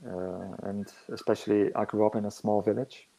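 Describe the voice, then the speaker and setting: Man, 40s, low gravelly delivery, slow, halting and reflective. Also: flat delivery, warm tone, low-quality recording. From northern Netherlands. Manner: halting, searching for words. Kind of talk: reflective interview answers.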